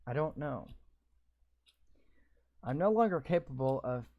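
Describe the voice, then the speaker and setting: A man's voice: mid-range voice, animated, a little slow, no room sound, highs cut off. A man playing a visual novel, reading its text aloud and breaking in with sarcastic, swearing comments. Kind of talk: reading game text aloud with live reactions.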